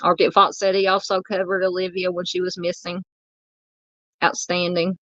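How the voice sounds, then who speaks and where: A Southern woman hosts a casual livestream, rambling warmly through comments with plenty of ums and uhs. Her voice sounds tired and on the edge of giving out. The sound is a bit dull and muffled, with a dry, close feel and no room echo. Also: on the low side, monotone, moderate pace. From Southern United States. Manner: rambling, chatty, many fillers. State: losing her voice. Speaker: true crime livestream host.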